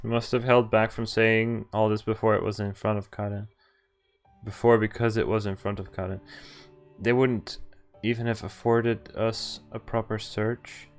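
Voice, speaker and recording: A man's voice: fairly low pitch, little pitch movement, normal speed, soft background music. A man streaming a visual novel, reading dialogue and narration aloud and casually acting out the lines. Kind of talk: live read-aloud of game dialogue and narration.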